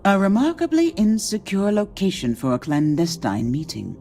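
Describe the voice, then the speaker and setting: A woman's voice: mid-range voice, wildly swooping pitch, normal speed, dark tone, soft background music. An actress playing a charming, teasing, cunning female executive in a video game, with a gushing, theatrical manner. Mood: arch, sly.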